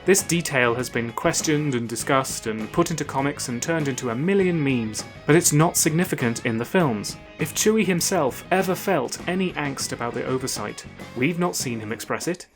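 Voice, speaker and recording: A man hosting a scripted video essay, narrating in a measured, analytical and argumentative way. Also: medium pitch, lively intonation, soft background music.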